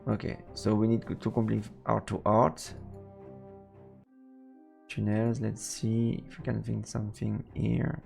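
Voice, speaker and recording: A man with a gravelly, creaky voice at an ordinary male pitch plays a visual novel on stream. He reads narration aloud and mutters casual commentary to himself, with faint music playing behind him. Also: monotone, a little slow, pauses often, darkish tone. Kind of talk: casual let's play chat mixed with read-aloud narration.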